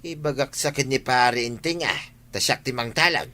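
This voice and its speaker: A man's voice: mid-range voice, lively intonation, moderate pace, sharp tone. A man playing a village husband in a comedy radio drama, with an Ilocano accent. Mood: plainspoken.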